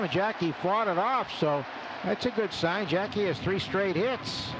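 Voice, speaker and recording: Guy doing baseball radio play by play, voice a bit high, clipped phrases, noisy ballpark behind him. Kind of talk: live sports commentary. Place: ballpark broadcast booth. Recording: live radio, loud stadium background noise. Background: clear ballpark ambience noise.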